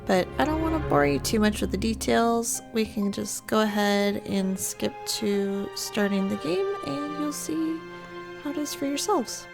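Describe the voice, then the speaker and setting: A woman's voice: fairly high pitch, lively intonation, a little slow, vocal fry. A woman hosting a let's play with casual, chatty game commentary and wry asides. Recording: loud music behind the voice.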